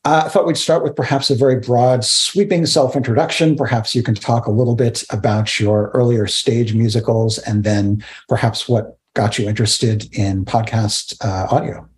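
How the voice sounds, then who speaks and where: A man's voice: medium pitch, fairly even intonation, normal speed, few pauses. A middle-aged man hosting an interview over a video call, speaking in a hesitant, wordy, drily jokey way. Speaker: interview host. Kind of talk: spoken introduction and interview questions. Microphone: webcam on a video call.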